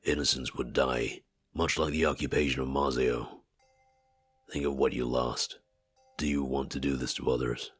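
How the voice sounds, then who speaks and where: Stern inhuman game advisor, extremely low voice, formal, measured, solemn. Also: male voice, flat delivery, a bit bright, husky, faint music behind, heavily acted. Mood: grave, reproving. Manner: formal, measured, solemn speech without contractions. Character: grave, stern, non-human male war advisor, aloof and principled. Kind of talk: visual novel game voice acting.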